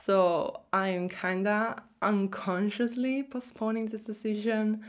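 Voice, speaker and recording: A young woman with an Italian accent, talking casually to camera in a small room about learning a language, expressive and quick to laugh. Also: medium pitch. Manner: chatty, expressive, laughs easily.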